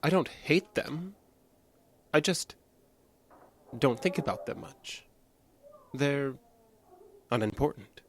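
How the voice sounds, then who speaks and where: An actor playing a cold, detached man in an audio drama, calm and precise, hiding violent tendencies behind an evasive, flat manner. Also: fairly high pitch, takes it slowly, pauses often, slight background noise. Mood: cold, defiant.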